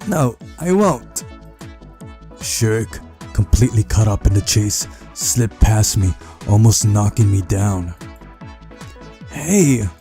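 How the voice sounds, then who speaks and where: A man reading a visual novel aloud as narrator, in a measured storytelling manner. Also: on the low side, dark tone. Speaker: playthrough narrator.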